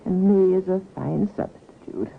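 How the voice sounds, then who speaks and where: Elderly mother for radio drama: fluttery, doting, animated, on a thin old recording. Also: fairly low pitch, moderate pace, gravelly, a little hiss or hum. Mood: fond, chatty. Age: older. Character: chatty, sweet-toothed elderly mother, fond and fluttery. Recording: muffled narrow-band vintage radio recording.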